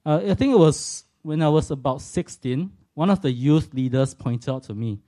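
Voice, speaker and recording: A Singaporean man in his forties tells his faith story to a church audience. His voice is mellow and warm. He speaks earnestly and thoughtfully, now and then poking gentle fun at himself. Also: on the high side, lively intonation, normal speed. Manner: earnest and reflective, with light self-deprecating humour. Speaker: speaker giving a personal testimony.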